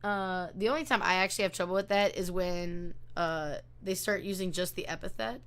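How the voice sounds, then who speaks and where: A woman's voice: fairly low pitch, normal speed, a bit bright, smooth. A woman hosting an online book club, talking in a rambling, chatty way with lots of fillers and restarts over a video call.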